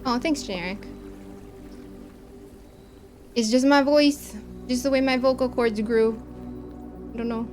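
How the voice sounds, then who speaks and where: A woman's voice: fairly high pitch, normal speed, a little mellow, soft background music. A woman from the American Midwest with a Chicago accent, chatting casually while streaming a game, with long vowels and careful over-enunciation.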